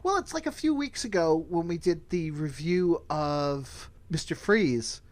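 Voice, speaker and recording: A man's voice: on the high side, animated, measured pace. A man hosting a casual review chat, recapping in an easygoing, conversational way. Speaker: podcast host.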